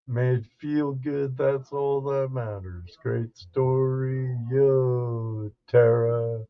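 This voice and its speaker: Male livestream storyteller, folksy and halting, very slow delivery, mid-pitched voice, casual chat. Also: steady tone, clean tone, highs cut off.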